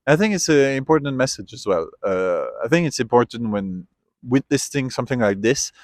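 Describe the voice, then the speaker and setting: A man's voice: medium pitch, animated, normal speed, no room sound, studio quality. A man from Switzerland, talking in a casual outdoor street interview, thoughtful and given to trailing off and restarting. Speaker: interviewee, tourist. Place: outdoors at a memorial site.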